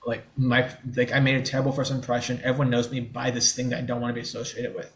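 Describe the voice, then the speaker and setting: A man's voice: medium pitch, flat delivery, fast talker. A man in his twenties from the New Orleans area, a guest in a podcast interview who stutters openly, with blocks and repeated sounds, and talks in a candid, easygoing way.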